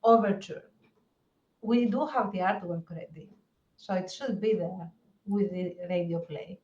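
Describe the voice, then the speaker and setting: Slow-talking woman on a dull recording. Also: fairly low pitch, darkish tone, far from the mic. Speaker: podcast participant, comic creator. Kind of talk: casual podcast chat.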